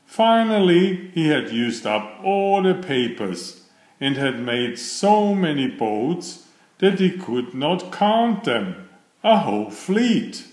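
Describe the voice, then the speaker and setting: A man reading a children's story aloud in a deliberate, expressive storytelling manner, with a roomy sound. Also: on the high side. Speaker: storybook narrator. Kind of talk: children's read-aloud narration.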